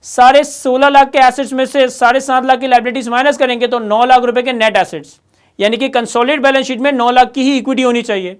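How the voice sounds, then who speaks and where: A man's voice: very high-pitched, fairly even intonation, fast talker, smooth. A man with a Pakistani accent giving an instructional lecture, explaining step by step with emphatic repetition. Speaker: accounting lecturer.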